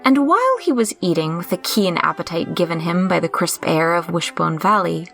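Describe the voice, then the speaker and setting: A woman's voice: lowish voice, expressive, normal speed, gravelly, fairly bright tone, faint music behind. A woman giving scripted storytelling narration as the narrator of an audio drama.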